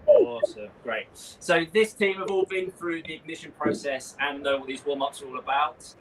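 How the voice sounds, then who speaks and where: A man's voice: fairly high pitch, expressive, moderate pace, fairly bright tone. A man giving live exercise instructions in an upbeat, encouraging manner. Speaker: practitioner leading a warm-up class. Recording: noisy room sound, far from mic.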